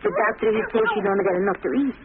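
A woman's voice: medium pitch, quick pace, lightly acted. A woman playing a poor, frightened but kindly immigrant mother with an Italian accent and tearful broken English, in old radio drama style. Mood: sorrowful. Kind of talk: 1940s radio drama acting. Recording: noisy tinny old radio recording.

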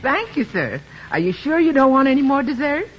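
An actress playing a good-humoured, folksy housekeeper in a 1950s radio sitcom, husky and breathy, deferential but teasing. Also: female voice, high voice, sing-song, a little fast. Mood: cheerful, deferential. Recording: dull 1950s radio broadcast.

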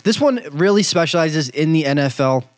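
A man's voice: fairly high pitch, lively intonation, fairly quick, dry recording. A man hosting a sports betting podcast, talking casually and effusively with lots of filler ums. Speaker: podcast host.

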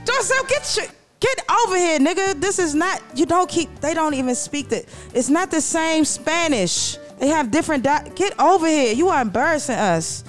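A woman's voice: high voice, expressive, moderate pace, hardly any pauses, soft background music, pitched above the performer's own voice. A woman voicing a bossy, impatient wife who scolds and cusses at her husband. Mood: scolding.

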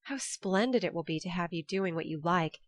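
A woman voicing an eager, flustered young woman, her speech quick and bright. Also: fairly low pitch, no echo, close to an everyday voice.

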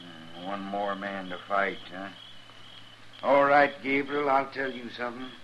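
An actor playing a mean, sly old homesteader with rustic folksy talk in a radio western. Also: male voice, fairly low pitch, flat delivery, a little slow, fairly bright tone. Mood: menacing. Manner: rustic folksy drawling grammar. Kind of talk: 1950s radio western acting. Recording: vintage radio, dull, faint noise.

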